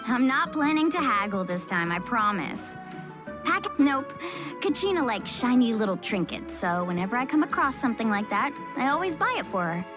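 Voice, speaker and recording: Upbeat young surfer girl, high pitch, casual and peppy, clear music behind, video game. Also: big pitch swings, normal speed. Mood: warm, casual. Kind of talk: animated game voice acting.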